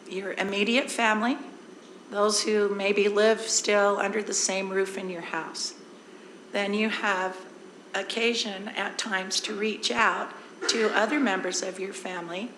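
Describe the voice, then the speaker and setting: Hoarse woman, district presenter, easygoing and explanatory, in a roomy hall with faint noise. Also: mid-range voice, fairly bright tone. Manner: conversational, explains with everyday examples. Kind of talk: informal presentation at a board meeting. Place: board meeting room.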